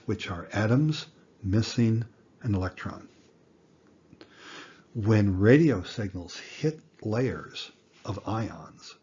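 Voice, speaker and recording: A man in his sixties giving an educational lecture in plain words, with a deliberate, folksy manner. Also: on the low side, darkish tone, breathy edge, muffled recording. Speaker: instructor.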